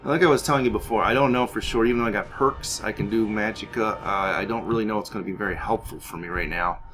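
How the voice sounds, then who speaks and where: A man's voice: fairly low pitch, fast, fairly bright tone, soft background music. A man giving gameplay commentary as roleplay, musing aloud in character. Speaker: gamer playing in character.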